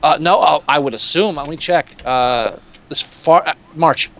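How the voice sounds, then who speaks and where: A man's voice: fairly high pitch, unhurried, a bit bright. A man co-hosting a casual movie podcast, opinionated and profane, talking through films with energy. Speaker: podcast co-host.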